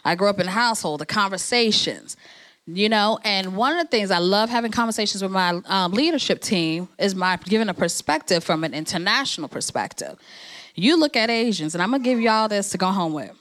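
A woman from New Jersey speaking as an organization's president at a networking event. She talks in a casual, conversational way and keeps rallying and encouraging her listeners. Her voice sits at an ordinary pitch. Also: expressive, brisk, fairly bright tone. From New Jersey, USA.

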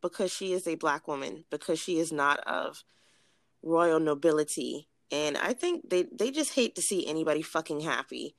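A woman co-hosting a podcast in casual chat, blunt and profane, her voice a little raspy from cigars. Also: low voice, expressive, moderate pace, no echo, studio quality. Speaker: podcast co-host.

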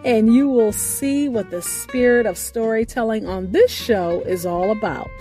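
A woman with a high voice hosts a radio program, speaking in an earnest and grateful way. She paces her words with care. Soft music plays faintly underneath. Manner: earnest, grateful, measured delivery. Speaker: radio show host. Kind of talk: scripted show introduction. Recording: faint music bed behind voice.

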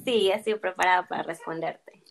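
Woman, early 20s, interview guest, friendly, hesitant, lowish voice, faint background hiss. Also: moderate pace, creaky. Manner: friendly, hesitant, repeats herself. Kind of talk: casual interview answers.

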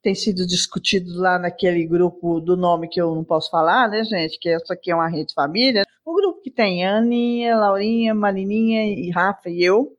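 A woman's voice: fairly low pitch, moderate pace, hardly any pauses. A woman in her sixties hosting her own podcast alone, talking in a chatty, affectionate way that wanders into asides. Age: 60s. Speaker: podcast host. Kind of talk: casual solo podcast chat.

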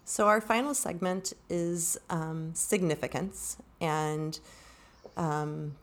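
Very low, creaky-voiced woman discussing books. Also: takes it slowly. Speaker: book discussion podcast participant. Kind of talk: unscripted book discussion.

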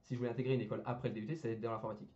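A young man talking quickly in loose, casual speech, tripping over fillers and restarting his sentences. His slangy chat comes through on a dull, lo-fi recording. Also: medium pitch, flat delivery, mellow. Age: young adult. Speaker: engineering student guest.

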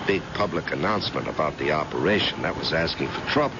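A gravelly, very low male voice plays a tough private investigator in a vintage radio drama. His delivery swings expressively, blunt and persistent. The recording is muffled and noisy. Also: expressive, fast talker. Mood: pressing, menacing. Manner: blunt, hardboiled, pressing. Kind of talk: old-time radio drama acting.

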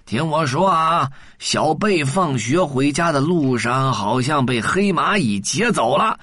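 Man playing an old grandpa ant, urgent, calling out, lively voice, dry sound. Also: fairly high pitch, a little slow, dry recording, heavily acted.

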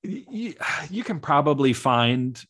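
A man with a low voice, co-hosting a podcast and chatting earnestly about musical theater. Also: very animated, a little slow, a bit bright. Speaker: podcast co-host.

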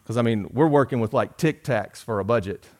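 A man, preaching a sermon in a folksy, joking way, rising loud and dropping soft. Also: medium pitch, a little fast. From Georgia. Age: 40s. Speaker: pastor.

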